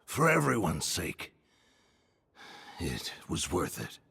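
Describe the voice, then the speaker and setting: An actor playing an aged, guilt-ridden male troupe leader in a game cutscene, speaking slowly and solemnly, with music behind him. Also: low-pitched, wildly swooping pitch, creaky, pushed and bright, slightly rough, no room sound, heavily acted. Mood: weary. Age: older. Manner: slow, brooding and solemn.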